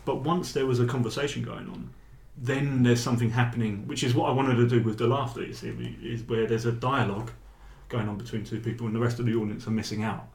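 A man's voice: mid-range voice, steady tone, quick pace, few pauses, roomy sound. An English man who performs spoken word, talking in a rambling, hesitant way with many restarts, in a casual interview. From England.

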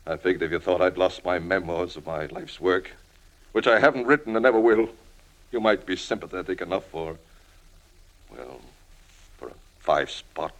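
An older man acting in a 1950s radio drama as a washed-up alcoholic crime reporter, self-pitying and wheedling, with halting, pleading speech. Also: sits low, animated, fairly bright tone, rough recording. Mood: defeated, ashamed.

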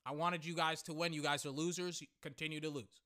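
Excitable young man, bright voice. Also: on the high side, quick pace. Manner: excitable, swears freely, rambling asides. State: voice getting hoarse. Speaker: podcast host. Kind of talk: live reaction commentary while watching.

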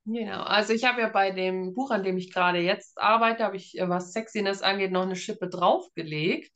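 A woman's voice: medium pitch, moderate pace, a bit bright. Giggly woman in her thirties, chatting. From Germany. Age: 30s. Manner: giggly, often laughs while talking. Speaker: author being interviewed. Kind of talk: casual interview chat.

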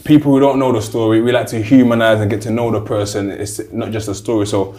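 A man's voice: fairly low pitch, level tone, fast talker, warm tone. A man with a London accent hosting an interview, asking long, rambling conversational questions. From South London. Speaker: interview host. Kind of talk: casual interview.

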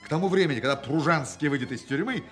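An actor playing a sly, self-assured swindler in a radio play, speaking with ironic, theatrical grandiloquence. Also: male voice, on the high side, animated, quick pace, a bit bright, soft background music. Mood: sly, teasing.

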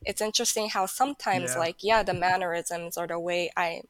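A woman's voice: lowish voice, steady tone, brisk, a bit bright. A young woman whose first language is Japanese, talking in a rambling, hesitant way as a podcast guest. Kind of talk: casual chat.

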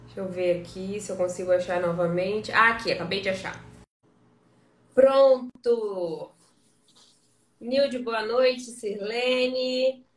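A woman's voice: mid-range voice, slow, long pauses, reverberant, distant mic. A woman hosting a casual live-stream interview, chatty and encouraging, asking friendly questions.

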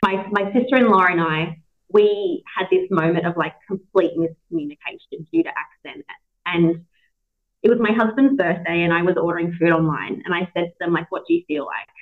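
A woman's voice: lowish voice, a little fast. Australian woman over a tinny line. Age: 30s. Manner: chatty, animated, laughs a lot. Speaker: podcast host. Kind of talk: casual chat. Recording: tinny phone-like call audio.

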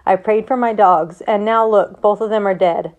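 A woman's voice: mid-range voice, fairly even intonation, normal speed, a little mellow, dull audio, close to an everyday voice. A woman reads as a stubborn young farm boy from a storybook, sunk in grief. He protests plaintively, hurt and unwilling to be consoled. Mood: bitter, defiant. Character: grieving, stubborn farm boy mourning his dogs.

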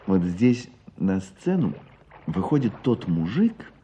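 An actor playing an earnest, compassionate storyteller, narrating village life in a radio drama. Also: male voice, sits low, very animated, takes it slowly, warm tone, slight background noise, heavily acted. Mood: solemn. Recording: dull muffled radio play recording.

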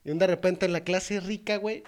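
A young man with a Mexican accent and a high-pitched voice recaps a movie in loose, vulgar banter. He mocks the characters by acting out their lines. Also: fairly even intonation, fairly quick. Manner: crude, profane, mocking voices for characters. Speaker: podcast co-host. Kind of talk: casual comedic movie recap.

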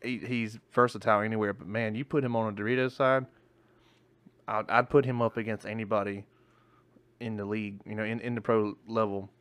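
A man's voice: on the low side, fairly even intonation, a little fast, fairly bright tone, no room sound. A male podcast co-host in casual chat, rambling and swearing, often restarting his sentences, with hiccups.

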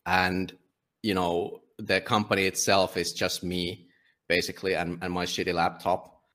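Deep-voiced Finnish man, halting speech. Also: little pitch movement, pushed and bright. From Finland. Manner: halting, many restarts and fillers. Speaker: guest, publisher. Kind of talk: casual conversational interview.

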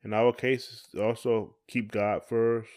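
A man's voice: medium pitch, flat delivery, unhurried, a little mellow. A middle-aged man, chatting casually as a podcast co-host in a folksy, encouraging way.

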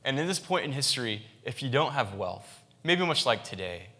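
A man's voice: mid-range voice, very animated, moderate pace, sharp tone. A man, a pastor, giving a sermon in a conversational style, with frequent restarts and fillers.

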